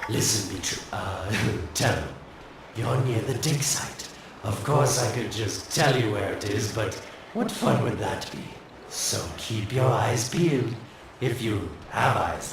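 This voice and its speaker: A smug scheming game guy, gravelly, slow, teasing, super echoey and far away. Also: medium pitch. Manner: teasing, sarcastic, chuckling. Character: sly scheming male inventor, mocking and smug. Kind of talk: video game cutscene voice acting. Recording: very echoey, distant, faint noise.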